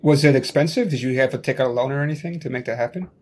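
Male podcast host, mid pitch, casual and rambling, video-call audio. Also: racing, darkish tone. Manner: loose and chatty, full of "like" and restarts. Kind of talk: casual tech interview.

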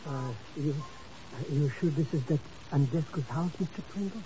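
A man's voice: on the high side, moderate pace, warm tone, natural voice. An actor playing a nervous, grumbling, self-serving comic sidekick in a 1950s radio drama, stammering and whining as he complains. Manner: stammering, whiny, fretful complaining. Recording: noisy, muffled old radio recording.

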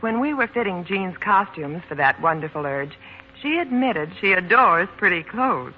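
A woman's voice: fairly low pitch, expressive, normal speed, a little hiss or hum. A woman guest in scripted 1940s radio promotional chat, speaking politely and with a rehearsed delivery. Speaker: guest in a scripted sponsor segment. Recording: dull vintage 1940s radio broadcast.

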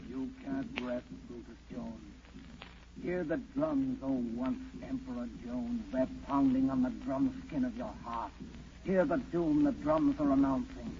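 An actor playing an ominous, taunting narrator who haunts a fugitive, incantatory and theatrical, in an old radio drama. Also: male voice, mid-range voice, unhurried, lightly acted. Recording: noisy, dull 1940s radio broadcast.

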